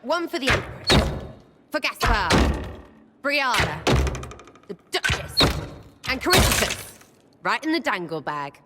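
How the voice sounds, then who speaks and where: An actress playing a brash, irreverent young archer with a British accent in a video game, blunt and colloquial. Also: female voice, on the high side, big pitch swings, takes it slowly, long pauses, heavy background noise. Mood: taunting, loud. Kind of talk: dramatic video game dialogue. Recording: reverberant room.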